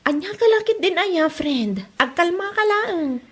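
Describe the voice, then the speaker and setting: An actress playing a sensible, blunt young salon worker and patient friend in an Ilocano comedy drama. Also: female voice, very high voice, animated, measured pace. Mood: calming.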